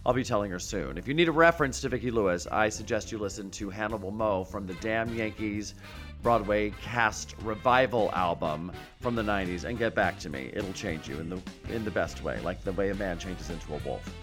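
A man's voice: fairly low pitch, a little fast, fairly bright tone, faint music behind. A man hosting a podcast in a chatty, theatrical style, gushing and playful as he talks. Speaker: podcast host. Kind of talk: chatty podcast monologue and guest introductions.

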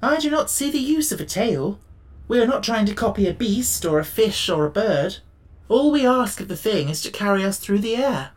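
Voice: medium pitch, very animated, normal speed, voice pushed up, close to an everyday voice. A storybook reader voicing a practical, self-assured man made of tin, formal and matter-of-fact. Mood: dismissive.

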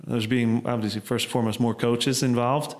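A man's voice: medium pitch, little pitch movement, fairly quick. A middle-aged man, a soccer head coach, answering interview questions at length, rambling with many fillers and restarts.

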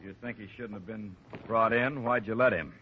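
An actor playing a big, hot-headed rancher's son in a 1950s radio drama, curt and confrontational with country speech. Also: male voice, lowish voice, moderate pace, natural voice. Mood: bitter, accusing. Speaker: actor in supporting part. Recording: muffled, noisy old radio broadcast.

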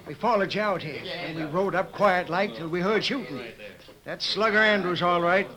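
An actor playing a stern, folksy old-West sheriff with emphatic frontier talk in a 1950s radio drama. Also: male voice, high voice, moderate pace, crowd noise, natural voice. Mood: brisk, authoritative. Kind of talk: 1950s radio western acting. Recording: 1950s radio recording, busy background.

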